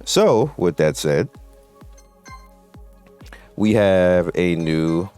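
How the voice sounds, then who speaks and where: A man's voice: low-pitched, animated, drawn-out words, darkish tone, smooth. A man hosting a gaming channel, giving casual, off-the-cuff commentary in a blunt, opinionated way over gameplay. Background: game music.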